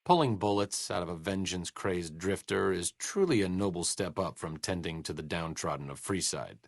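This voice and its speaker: An actor playing a sardonic young scholar and medic in a video game, with dry, sarcastic wit. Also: male voice, low-pitched, expressive, normal speed, vocal fry, no room sound, heavily acted. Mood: wry. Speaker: game companion character. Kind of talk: studio video game voice acting.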